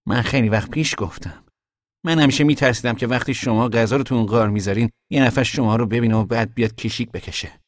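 An actor playing a young man in hiding, talking to his mother in an earnest, quietly stubborn way. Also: mid-range voice, dry recording, studio quality, close to an everyday voice. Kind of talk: audio drama acting.

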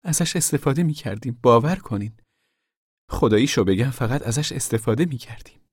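A man's voice: mid-range voice, lively intonation, breathy edge, no room sound, clean studio sound. A man voicing a small, timid, ashamed mother in a story, pleading in a meek, whining way.

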